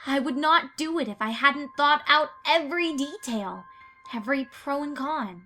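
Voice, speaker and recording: A woman plays a young female pony student in a dark audio drama. Her voice is high and piercingly bright. She speaks with clinical precision and a false sweetness, coldly curious and quite unhinged. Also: normal speed, faint music behind. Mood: earnest, obsessive. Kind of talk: dramatic fan fiction audio reading.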